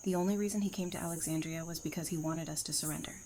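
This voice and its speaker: An actress voicing a weary but steady young woman who talks quietly. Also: low voice, racing, slight background noise. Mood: subdued.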